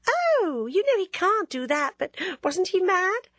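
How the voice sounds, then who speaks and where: A woman's voice: extremely high pitch, very animated, a little slow, a bit bright, dry recording, voice pushed up, exaggerated voice. A woman voicing a vain, teasing young woman who gushes and drops French words into her talk. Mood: delighted.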